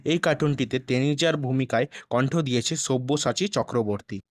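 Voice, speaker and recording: A man's voice: medium pitch, moderate pace, no echo. A man reading scripted narration for an online video.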